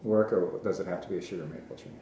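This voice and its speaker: A man's voice: sits low, steady tone, very fast, warm tone, slight background noise. A man chatting casually and asking questions as a visitor on a tour. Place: farm sugarhouse and tasting room. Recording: very echoey, reverberant farm building.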